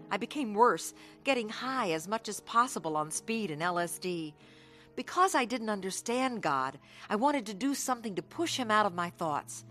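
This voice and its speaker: Radio testimony lead: restless, reckless young woman, bright forceful voice, music underneath. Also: mid-range voice. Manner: earnest, confessional storytelling. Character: restless, reckless young woman recalling her wild runaway youth. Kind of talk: dramatised first-person radio testimony.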